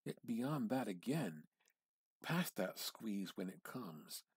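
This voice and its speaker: A man reads literary fiction aloud at a very slow pace, leaning into dramatic pauses. His voice is fairly low and noticeably husky. The delivery is deliberate and intense. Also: big pitch swings, no echo. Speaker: amateur reader.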